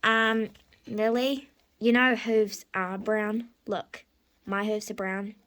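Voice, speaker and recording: Woman doing a cheeky, pedantic little pony, bright voice. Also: mid-range voice, little pitch movement, slow. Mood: smug, mock-innocent.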